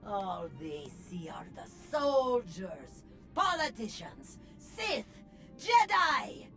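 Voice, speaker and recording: Game role: bold female gang leader, higher voice, very animated, theatrical and deliberate. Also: soft background music, exaggerated voice. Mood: defiant, assured. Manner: declamatory, deliberate, rallying. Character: bold, charismatic female gang leader and rabble-rousing revolutionary. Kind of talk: theatrical video game speech.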